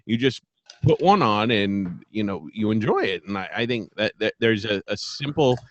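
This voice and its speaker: An American man chatting casually as a podcast host, halting and saying "like" often. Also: fairly low pitch, animated, slight background noise. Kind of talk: casual chat. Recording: dull, roomless dry lo-fi sound.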